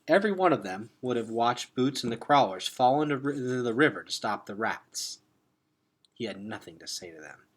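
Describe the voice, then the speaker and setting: A man reading a children's novel aloud with expressive, dramatic emphasis, sometimes hushed. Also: medium pitch, normal speed. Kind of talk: expressive storybook read-aloud.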